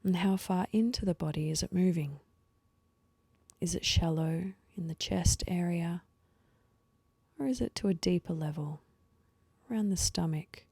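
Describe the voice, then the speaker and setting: A woman leading a guided meditation with slow, soothing instructions and pauses, her voice soft and at times breathy. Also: fairly low pitch, dry recording.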